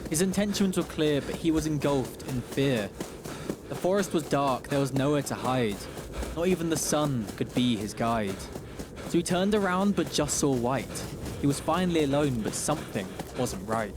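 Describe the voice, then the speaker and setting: A man narrating a rhyming radio story in a theatrical, measured verse delivery. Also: mid-range voice, lively intonation, background noise.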